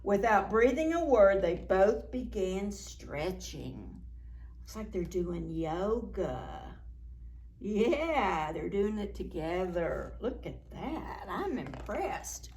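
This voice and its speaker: A woman's voice: medium pitch, big pitch swings, slow, darkish tone. A woman reading a picture book aloud to preschoolers as a story time host, expressively, with asides and questions to her young listeners.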